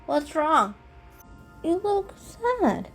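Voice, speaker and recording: A woman voicing an inquisitive cat friend in a storybook reading, with a direct, animated manner. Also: very high-pitched, very slow, creaky, soft background music, pitched above the performer's own voice, full character voice. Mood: concerned.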